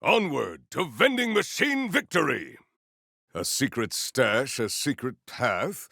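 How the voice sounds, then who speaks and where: Game hero, stern proud warrior: gravelly, formal, theatrical delivery that swings widely in pitch. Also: male voice, very animated, slow, bright, forceful tone, no echo, studio quality, heavily acted. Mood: triumphant, bold. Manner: formal, grandiose, theatrical declarations. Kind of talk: video game character voice lines.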